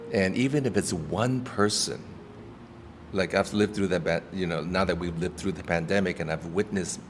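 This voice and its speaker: A man with a low voice speaks earnestly and thoughtfully, pausing to restart his sentences. He is recorded in a restaurant with lingering reverb. Clear background noise sits under his voice. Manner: earnest, reflective, with many restarts and ums. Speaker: actor and activist guest. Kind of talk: casual interview talk.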